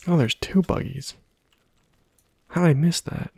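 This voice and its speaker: A man giving casual, playful ASMR game commentary in a hushed, breathy half-whisper, muttering to himself close to the microphone. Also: a little high, fairly even intonation, measured pace, no room sound. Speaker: gaming video host.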